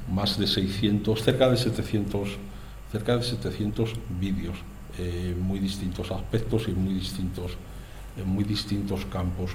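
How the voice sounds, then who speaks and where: A man gives a formal speech in a university hall. His voice is lowish, mellow and quite flat, and he keeps repeating phrases. The sound is roomy, with a faint hiss behind him. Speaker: institute director. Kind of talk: formal speech at an agreement signing.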